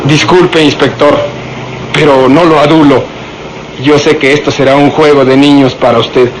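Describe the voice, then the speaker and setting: Guy acting as eager-to-please police sidekick in a radio play, kinda high male voice, bit noisy. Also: steady tone, normal speed, lightly acted. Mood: respectful. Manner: respectful, obedient. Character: deferential, admiring male police assistant.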